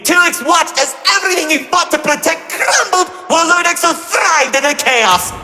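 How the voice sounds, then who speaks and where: A man's voice: extremely high pitch, animated, normal speed, pushed and bright, heavily acted. A man voicing a gleefully cruel trickster villain in a game, telling tales of war in a mocking, flippant, melodramatic way. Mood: awed, dramatic. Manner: mocking, flippant, melodramatic, swinging between grandeur and glee. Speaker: narrator villain. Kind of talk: theatrical storytelling narration. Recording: echoey hall reverb over music. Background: music.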